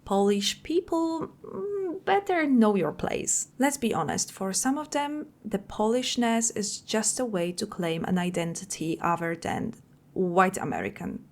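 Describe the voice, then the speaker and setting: A woman's voice: mid-range voice, unhurried, darkish tone. A woman reading viewer comments aloud and adding dry, sarcastic asides.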